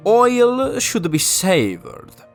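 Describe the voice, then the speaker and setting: A man's voice: sits high, huge pitch swings, very slow, vocal fry, soft background music, voice pushed up, full character voice. A man voicing a vain, boastful Italian race car in a children's storybook, with an Italian accent and a pompous manner. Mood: disdainful. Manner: pompous, refers to himself in third person.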